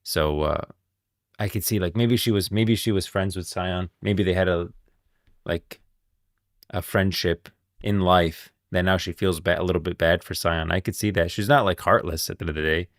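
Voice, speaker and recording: A man's voice: deep voice, fairly even intonation, normal speed, dry recording, studio quality. A man streaming casual game lore commentary, rambling and thinking aloud as he goes, often repeating himself. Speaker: streamer, host.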